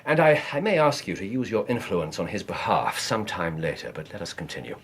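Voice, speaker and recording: An actor playing a brilliant, commanding Victorian detective in a radio drama, with an English accent, a husky voice and precise, theatrical emphasis. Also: male voice, low voice, very animated, fairly quick, slight background noise. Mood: confident, explanatory.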